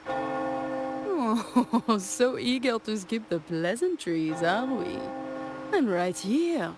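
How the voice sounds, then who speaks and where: An actress playing an elegant, teasing mask-shop madame in a video game, with a coy, theatrical manner. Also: female voice, on the high side, animated, music in the background. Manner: coy, drawn-out, playful. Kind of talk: video game character acting.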